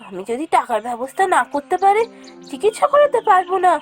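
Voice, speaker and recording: A woman's voice: very high voice, huge pitch swings, quick pace, soft background music, heavily acted. A woman voicing a poor, anxious village wife in a cartoon fairy tale, pleading and emotive.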